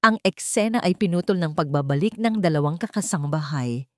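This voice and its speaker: A woman's voice: low voice, animated, fairly quick, dry recording, clean studio sound. A woman reading a scripted dramatic story as its narrator.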